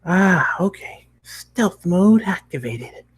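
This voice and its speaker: An excitable young cartoon pony girl, performed by a man, pitched well above his natural voice. She is inquisitive and bouncy, lifting her questions eagerly as a storybook character. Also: takes it slowly, breathy edge, full character voice. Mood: quiet, playful. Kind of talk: fan fiction character reading.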